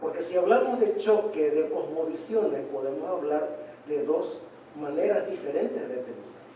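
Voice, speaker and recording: A man speaking as a psychoanalyst gives earnest, declamatory remarks full of rhetorical questions. His mid-high voice is mellow in tone, caught from far off in a large echoing hall with faint noise. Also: animated, unhurried. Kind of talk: panel discussion remarks. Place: large hall, book presentation.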